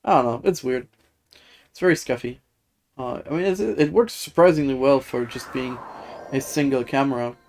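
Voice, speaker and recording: A woman's voice: rumbling low, moderate pace, a little mellow. A woman game streamer chatting casually and thinking aloud in a rambling, hesitant way. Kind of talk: casual livestream chat. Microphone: streaming setup with webcam. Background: music.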